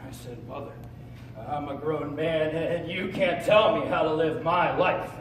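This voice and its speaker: A teenage male performer plays an unhinged, resentful grown son in a dramatic stage monologue. His high male voice is theatrical and halting, moving between hushed and forceful delivery. It is recorded from far off in an echoey, noisy hall. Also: sing-song. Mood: exasperated. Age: teens, high school senior. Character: unhinged, needy grown man resentful of his domineering mother.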